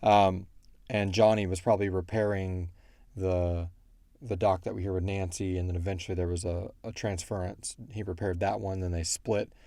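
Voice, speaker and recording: Man, low relaxed voice, very dry close sound, casual rambling explainer of fictional lore. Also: moderate pace, no echo. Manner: rambling, quotes characters in brief asides. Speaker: show creator answering listener questions.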